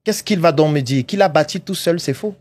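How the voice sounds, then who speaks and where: A man's voice: a little high, brisk, darkish tone, no room sound. A man debating on a podcast, lecturing emphatically and firing rhetorical questions. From Africa. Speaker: podcast guest, entrepreneurship coach. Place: studio podcast set.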